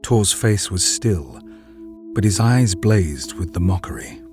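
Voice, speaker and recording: A man narrating a scripted drama in a grave, deliberate storytelling manner. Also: low voice, animated, a little mellow, soft background music.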